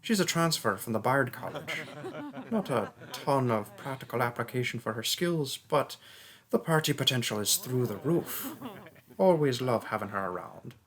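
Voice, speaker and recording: A man's voice: mid-range voice, very animated, normal speed, a bit bright. A man voicing a friendly, chatty young mage student in a roleplay audio drama, speaking casually and a little hesitantly, straight to the listener. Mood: chatty, jokey. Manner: casual, rambling, hesitant, jokey.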